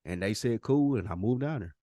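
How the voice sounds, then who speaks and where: A man's voice: mid-range voice, lively intonation, fairly quick, dark tone, no echo, clean studio sound. An American man co-hosting a podcast, telling things in a casual, direct and emphatic way. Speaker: podcast co-host. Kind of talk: casual chat and storytelling.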